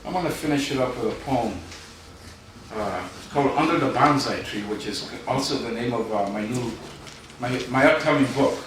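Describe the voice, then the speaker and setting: A middle-aged man reading his poetry live, with informal asides and a wry, deadpan storytelling manner. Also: mid-range voice. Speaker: poet. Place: live event in a reverberant room, audience present. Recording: very echoey hall, distant, noisy.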